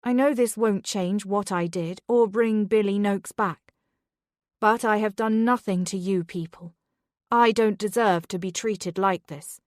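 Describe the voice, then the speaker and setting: A woman's voice: mid-range voice, lively intonation, a little slow, darkish tone, no echo, clean studio sound, close to an everyday voice. A woman reading the part of a proud, defiant mother, firm and insistent in an audiobook.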